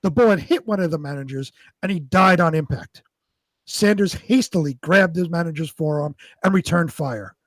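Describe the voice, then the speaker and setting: A man with a fairly high voice narrates as co-host of a live show. His delivery is unpolished and somewhat halting, and the sound is very dry with no room to it. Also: dry recording. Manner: halting, repeats words when ad-libbing. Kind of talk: amateur scripted narration and casual chat.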